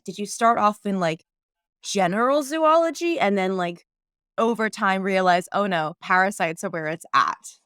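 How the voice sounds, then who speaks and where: A woman's voice: medium pitch, a bit bright, no room sound, clean studio sound. A woman co-hosting a podcast, chatting playfully with the guests and often saying "like". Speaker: podcast co-host. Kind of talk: casual podcast chat.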